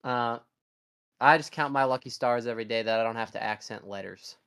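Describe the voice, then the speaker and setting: A man's voice: mid-range voice, fairly quick, gravelly, fairly bright tone, clean tone. A young man co-hosting a podcast, chatting casually in a rambling, sarcastic way with frequent restarts. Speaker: podcast co-host. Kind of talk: casual chat.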